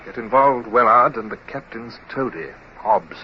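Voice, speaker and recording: An actor playing a commanding, reflective naval lieutenant in an old radio drama, speaking crisply and with measured authority. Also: male voice, mid-range voice, natural voice. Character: brisk commanding young male naval lieutenant, reflective when narrating. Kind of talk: 1950s radio drama acting and narration. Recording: dull, hissy vintage radio recording.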